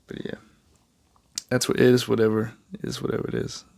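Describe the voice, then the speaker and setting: Chatty young Danish man, gravelly voice. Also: medium pitch, monotone, a little fast, darkish tone, dry recording, clean studio sound. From Denmark. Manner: rambling, chatty, self-mocking, many fillers and restarts. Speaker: art vlogger. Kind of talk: casual chat while drawing.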